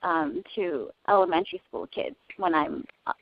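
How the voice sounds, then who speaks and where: A young woman who grew up speaking Cantonese, talking in a casual interview in an earnest, thoughtful way with frequent pauses to find her words. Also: fairly low pitch, very animated, vocal fry, no echo. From United States. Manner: earnest, thoughtful, many hesitations. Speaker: interview guest. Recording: dull lo-fi dry recording.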